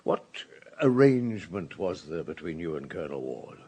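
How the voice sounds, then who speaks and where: An actor playing a middle-aged police superintendent in a radio drama, calm, courteous and quietly insistent as he questions. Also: sits low, moderate pace, husky, heavily acted.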